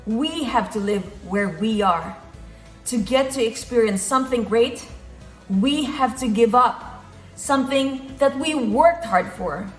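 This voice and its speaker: A woman's voice: on the high side, slow, roomy sound, faint music behind. A woman from the Philippines with a Filipino accent, giving an earnest motivational talk and repeating key phrases for emphasis. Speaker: motivational speaker.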